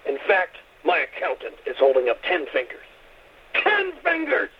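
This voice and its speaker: An actor playing an irate, crude record-label boss who barks sarcastically down a phone line in a comedy radio play. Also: male voice, on the high side, wildly swooping pitch, moderate pace, breathy edge, heavily acted. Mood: blustering, sardonic. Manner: bullying, sarcastic barking. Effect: telephone filter. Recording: muffled telephone-style sound.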